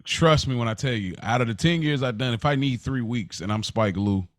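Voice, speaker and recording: A man's voice: mid-range voice, brisk, dry recording, clean studio sound. A man co-hosting a podcast, casually pressing his point with questions and repeated phrases in informal language. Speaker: podcast host.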